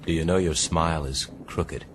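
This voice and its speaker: A stern, wary gentleman standing guard in a radio drama. His voice is extremely low and terse, firm and unyielding, over faint noise. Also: normal speed. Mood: suspicious.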